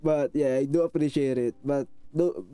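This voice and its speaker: A man's voice: mid-range voice, normal speed, warm tone, smooth, no echo. A man from the Philippines with a thick Filipino accent, chatting casually with viewers on a live stream, rambling and restarting sentences, apologetic and a little awkward. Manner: rambling, frequent restarts, self-conscious and apologetic; switches into Tagalog phrases. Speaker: VTuber streamer.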